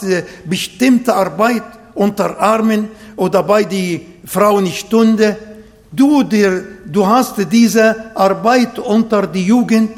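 A man with an Arabic accent preaching a sermon and praying, slow and emphatic, repeating phrases to his congregation. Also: sits high, long reverb tail. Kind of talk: sermon with prayer. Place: church hall, livestreamed service.

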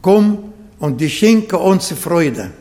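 A man with an Arabic accent preaching a sermon and praying, slow and emphatic, repeating phrases to his congregation. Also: sits high, lively intonation, warm tone, in a large hall. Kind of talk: sermon with prayer. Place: church hall, livestreamed service.

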